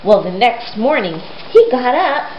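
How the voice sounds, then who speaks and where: A woman's voice: on the high side, sing-song, measured pace, a little mellow. A woman retelling a Bible story to young children, speaking simply and emphatically and putting questions to them. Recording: roomy, dull lo-fi sound with hiss.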